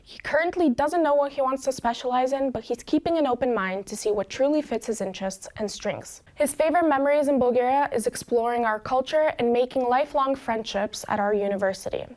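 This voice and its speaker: A woman's voice: sits high, brisk, hardly any pauses, darkish tone. A young woman in her twenties with an American accent, giving a prepared introduction as a student presenter. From Chicago, United States. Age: 20s.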